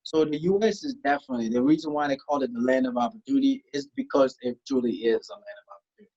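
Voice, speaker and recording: A man's voice: fairly high pitch, very animated, fast, gravelly, mellow, dull audio. A man from South Sudan, a guest in an interview, talking emphatically and asking rhetorical questions. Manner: emphatic, rhetorical questions, frequent "you know" and "right?". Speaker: guest, tax strategist. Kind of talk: conversational interview.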